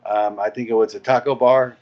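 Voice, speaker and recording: A man with a medium-pitched voice talks casually as a guest, laying out plans like an organizer. The audio is dull and lo-fi. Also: steady tone, fairly quick. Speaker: interview guest, event organizer. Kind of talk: casual chat explaining event plans.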